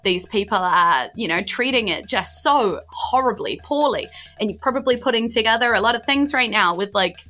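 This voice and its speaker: A woman, improvising narration as game master of a tabletop game podcast in a chatty, excitable, emphatic way. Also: a little high, moderate pace, hardly any pauses, faint music behind. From New Zealand.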